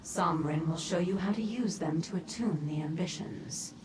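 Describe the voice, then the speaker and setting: A cryptic female demon mentor from a fantasy game, acted by a woman, gives orders deliberately. Her voice is low and rings with echo, over clear background ambience. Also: noisy background, close to an everyday voice. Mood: commanding. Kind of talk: scripted fantasy game dialogue.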